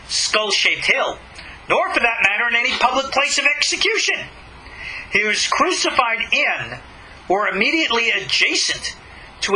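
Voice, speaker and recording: A man reading aloud a religious lecture in an emphatic, dramatic, deliberately phrased way. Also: extremely high pitch, bright, forceful tone, slight background noise, low-quality recording. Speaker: rabbi giving a religious lecture.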